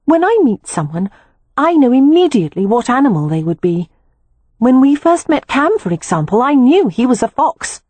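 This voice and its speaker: A woman's voice: high voice, sing-song, moderate pace, a little mellow, dry recording, pitched above the performer's own voice. A woman voicing a frank, earnest young woman who loves animals, speaking in a matter-of-fact way.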